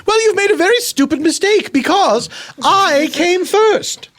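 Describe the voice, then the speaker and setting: A man's voice: extremely high pitch, expressive, measured pace, fairly bright tone, exaggerated voice. A man voicing a haughty, prim, old-fashioned lady daredevil who speaks imperiously and takes offense easily. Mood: indignant. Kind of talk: improv comedy character.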